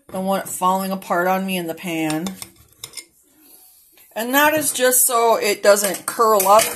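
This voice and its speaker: A woman chats her way through a home cooking video, easygoing and folksy, calling her viewers y'all. Her voice sits at an everyday middle pitch, picked up by a phone over the stove with a faint hiss of noise behind her. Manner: chatty, homey, talks to viewers as y'all. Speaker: cooking vlogger. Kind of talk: casual cooking demo narration. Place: home kitchen, indoors.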